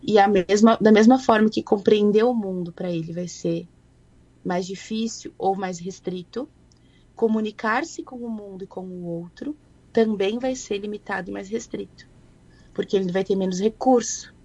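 A woman, explaining literacy as a guest in a relaxed, reflective way. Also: mid-range voice, normal speed, muffled recording. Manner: reflective, thoughtful. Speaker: guest educator. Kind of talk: conversational explanation.

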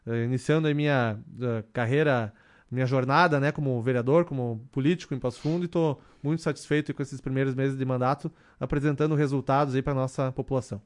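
A man in his thirties, a councilman, answering questions in a radio interview in a measured way, with many fillers and restarts. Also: medium pitch, steady tone. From southern Brazil. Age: 30s. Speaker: city councilman, radio guest.

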